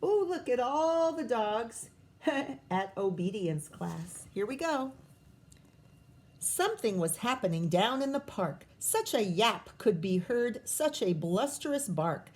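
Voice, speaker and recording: A woman teacher reading a picture book aloud to children, expressive and often pausing to prompt young listeners with questions. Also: a little high.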